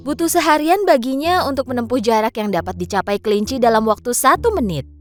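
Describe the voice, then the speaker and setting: A woman narrating a children's cartoon story in a lively, expressive way. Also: mid-range voice. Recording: narration over loud background music.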